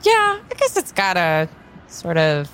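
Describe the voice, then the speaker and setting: A woman playing a casual, friendly young tarot reader in an improvised audio drama, talking in a halting, conversational way. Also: fairly low pitch, huge pitch swings, a bit bright, a little hiss or hum, exaggerated voice.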